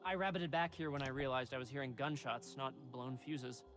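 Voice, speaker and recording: A man's voice: mid-range voice, fairly quick, music in the background, close to an everyday voice. A man playing a nervous, rambling lab scientist in a video game, jittery and hesitant. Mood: uneasy, rambling. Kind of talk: video game dialogue acting.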